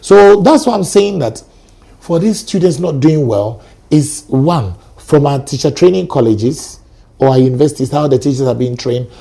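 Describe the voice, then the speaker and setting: A middle-aged man with a Ghanaian accent talks in a husky, gravelly voice that sits a little high for a man. The tone is dark. He tells stories in an animated way, acting out sounds as he goes. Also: normal speed. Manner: animated storyteller, acts out sounds and quotes. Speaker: guest, educator. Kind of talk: studio talk show conversation.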